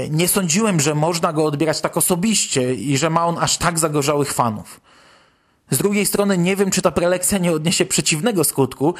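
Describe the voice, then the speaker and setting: A man hosting a podcast, giving conversational commentary. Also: on the high side. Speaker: podcast host.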